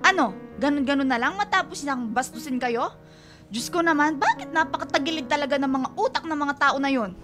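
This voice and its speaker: A fiercely protective Filipino mother in a radio drama. Her high voice is emphatic, exclamatory and pleading, with music playing underneath. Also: lively intonation, fairly quick, a bit bright. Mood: outraged, worried. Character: fiercely protective, anguished mother of a grown daughter. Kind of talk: emotional Tagalog radio drama acting.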